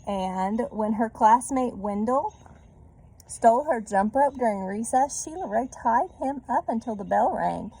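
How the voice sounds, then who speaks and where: Slow, creaky woman reading to children. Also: fairly high pitch, lively intonation, warm tone, low-quality recording. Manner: checks in with listeners using tag questions. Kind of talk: children's read-aloud with chatty asides.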